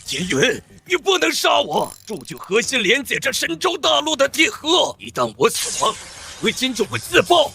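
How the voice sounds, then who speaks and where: Bright-voiced, pompous alien overlord tyrant. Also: male voice, very high voice, huge pitch swings, moderate pace, creaky, soft background music, full character voice. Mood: panicked. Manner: pompous, contemptuous, menacing. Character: arrogant male alien overlord, a godlike tyrant. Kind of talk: dramatic animated-comic dialogue.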